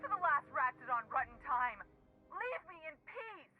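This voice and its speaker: An actress playing a cranky, evasive patient over an intercom in a video game, grumbling in wordy, melodramatic fashion. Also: female voice, high voice, very animated, moderate pace. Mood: irritated. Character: cranky, evasive patient feigning a dreadful illness. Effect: radio intercom filter. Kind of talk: video game character dialogue. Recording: tinny, band-limited intercom over music.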